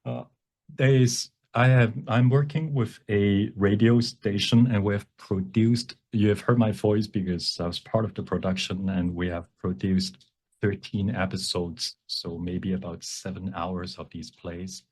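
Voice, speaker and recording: A man giving an informal workshop presentation with a demonstration, explaining in a halting way and often correcting himself. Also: low voice, steady tone, darkish tone, slightly rough. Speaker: workshop presenter and font developer.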